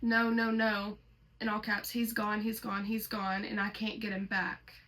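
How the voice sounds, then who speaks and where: Creaky-voiced woman reading aloud. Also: medium pitch, little pitch movement, unhurried, a bit bright, low-quality recording. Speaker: host reading a horror story aloud. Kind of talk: casual read-aloud with commentary.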